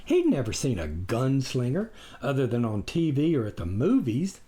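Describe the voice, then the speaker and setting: A man narrates a memoir like a practiced storyteller. His delivery is dramatic and expressive, with pitch that moves widely through each sentence. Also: moderate pace. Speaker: author reading his own memoir. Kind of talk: scripted audiobook narration.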